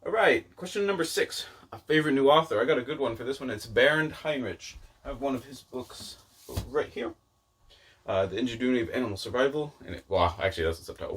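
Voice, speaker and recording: A man's voice: mid-range voice, breathy edge. A man chatting casually as a book vlogger, rambling off on tangents and peppering his talk with "like" and "you know.". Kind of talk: casual rambling chat to camera.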